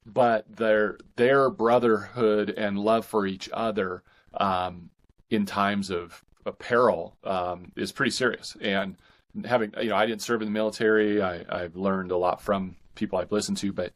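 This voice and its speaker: Male author guest, lower register, conversational interview answers, halting and reflective delivery.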